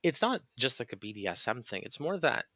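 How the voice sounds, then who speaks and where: Bright, creaky-voiced man explaining earnestly. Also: mid-range voice, big pitch swings, brisk, no echo, dull audio. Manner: earnest, analytical, long explanatory sentences. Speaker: podcast guest. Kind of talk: reflective interview conversation.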